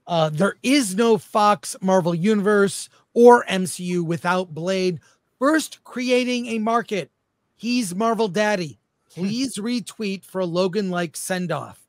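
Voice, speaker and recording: A man with a notably high voice hosts a casual panel discussion. He states his opinions with emphasis, and the sound is very dry, without room echo. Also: slow pace. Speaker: host of a film debate show.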